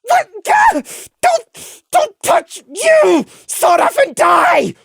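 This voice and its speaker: Woman doing a male pony, shrill, frantic, raw-throated shouting, very high, dry close sound. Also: big pitch swings, slow pace, dry recording, full character voice.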